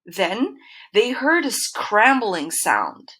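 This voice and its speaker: Slow, expressive woman storyteller. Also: mid-range voice, husky. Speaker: narrator. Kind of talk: children's storybook narration.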